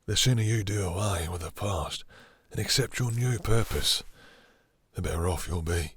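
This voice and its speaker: A man playing a possessive, domineering vampire master in an intimate roleplay audio drama, with slow, theatrical, condescending menace and a breathy, seductive purr. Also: deep voice, a bit bright, no room sound, full character voice. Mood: condescending, coaxing.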